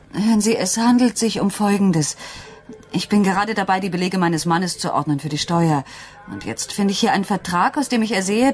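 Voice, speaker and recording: A woman's voice: mid-range voice, close to an everyday voice. An actress playing an earnest, insistent, troubled wife and mother in a German radio crime play. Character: distraught, doubting wife of a jazz musician, a mother.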